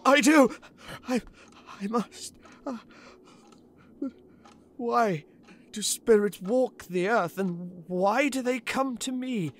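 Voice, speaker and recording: An actor playing a frightened, stubborn old miser in an audio drama, querulous, stammering and gasping. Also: male voice, extremely high pitch, takes it slowly, long pauses, heavily acted. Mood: terrified, pleading. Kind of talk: theatrical audio drama acting.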